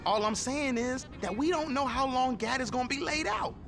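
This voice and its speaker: High-voiced, pushy gang lieutenant. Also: male voice, moderate pace, gravelly. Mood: insistent. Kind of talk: video game cutscene acting. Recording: music behind.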